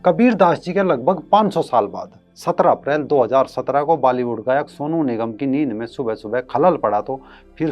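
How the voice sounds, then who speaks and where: A man's voice: medium pitch, highs cut off. A man hosting an online commentary show, reading a scripted piece with emphatic, rhetorical delivery.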